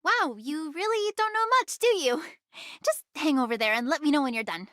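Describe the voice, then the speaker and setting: High, animated, cheeky anime game girl. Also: moderate pace, dry recording, studio quality. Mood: impatient, teasing. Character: chatty, cheeky young female sci-fi guide, anime style. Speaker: game character voice. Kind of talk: anime game voice acting, expository dialogue.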